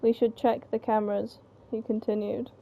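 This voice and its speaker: A woman's voice: fairly high pitch, level tone, moderate pace, vocal fry. A woman reading a story aloud in a casual table read. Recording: dull, noisy home recording.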